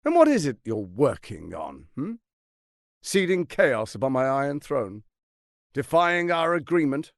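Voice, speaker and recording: A grandiose tyrant of a fantasy video game, speaks in a mid-pitched male voice with a creaky grain. His delivery is pompous and articulate, hugely varied in intonation, full of condescension and calculated menace. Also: huge pitch swings, measured pace, dry recording, clean studio sound. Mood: mocking. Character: scheming, silver-tongued tyrant archduke, grandiose and menacing. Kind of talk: theatrical video game voice acting.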